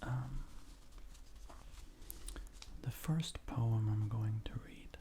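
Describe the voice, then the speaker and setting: A man reads in a breathy, near-whispered ASMR voice. His tone is mellow and soft, and he moves slowly and gently through each phrase. A faint noise sits behind him. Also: lowish voice. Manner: gentle, unhurried, measured. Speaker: ASMR creator reading poetry. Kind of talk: soft-spoken ASMR poetry reading.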